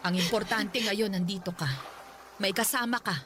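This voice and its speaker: A woman's voice: mid-range voice, normal speed, natural voice. An actress playing a fiercely protective, hot-tempered mother and grandmother in a Filipino radio drama. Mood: tender, reassuring. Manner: scolding and emphatic when roused, tender with her child. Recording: noisy background ambience.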